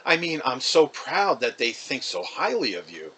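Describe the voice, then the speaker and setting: A man voicing a polite, proud mother gently persuading her child. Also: mid-range voice, big pitch swings, normal speed, slight background noise, low-quality recording. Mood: proud, flattering.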